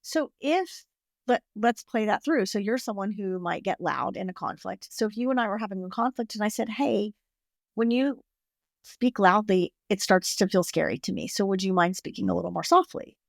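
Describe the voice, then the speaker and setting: A woman relationship therapist giving calm, practical explanations in a podcast interview. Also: mid-range voice, moderate pace, creaky, dry recording, studio quality.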